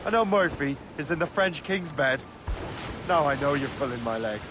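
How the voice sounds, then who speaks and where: An actor with an Irish accent playing Shay, an earnest young ex-assassin who captains a ship in a video game. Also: male voice, a little high, expressive, normal speed, background noise, natural voice. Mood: curious, wry.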